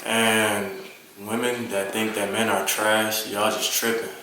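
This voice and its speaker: Man, 20, from Detroit, laid-back, lowish voice, echoey room with background noise. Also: little pitch movement, slow pace. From Detroit, USA. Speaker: panelist.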